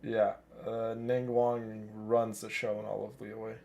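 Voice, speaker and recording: A man's voice: lowish voice, level tone, slow pace, vocal fry, warm tone, highs cut off. A man livestreaming a video game, chatting casually and exclaiming to his viewers as game music plays. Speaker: game streamer, host.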